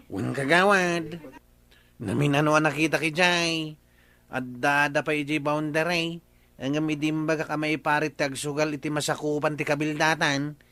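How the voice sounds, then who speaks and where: A man's voice: on the high side, fairly even intonation, normal speed, sharp tone. A man with an Ilocano accent playing an earnest, talkative village fellow in a comedy radio drama. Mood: earnest, insistent.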